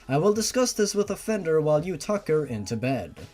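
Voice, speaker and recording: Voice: medium pitch, very animated, fairly quick, a little mellow. A narrator voicing a composed, formal monster father in a horror story reading. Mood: calm, formal.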